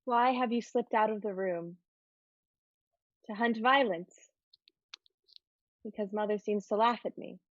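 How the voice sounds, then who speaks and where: A woman playing a dreamy, yearning adolescent girl in a stage-play reading, musing in a halting, wistful way. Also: mid-range voice, no room sound, highs cut off, natural voice. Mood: troubled, searching.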